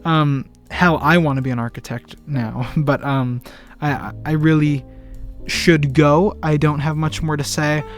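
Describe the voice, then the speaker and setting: A man's voice: fairly high pitch, steady tone, a little slow, soft background music. A young man giving a casual film review. He talks earnestly and enthusiastically and often repeats words and restarts his sentences.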